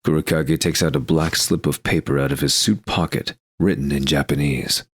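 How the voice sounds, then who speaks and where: A man's voice: a bass voice, fairly even intonation, normal speed, husky, no echo, studio quality. A man reading scripted narration for an audio drama, describing the action as narrator.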